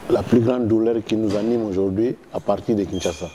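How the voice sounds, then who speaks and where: A man giving a grave, emphatic political address in deliberate phrases. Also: lowish voice, steady tone, mellow, a little hiss or hum. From Democratic Republic of the Congo. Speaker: political activist.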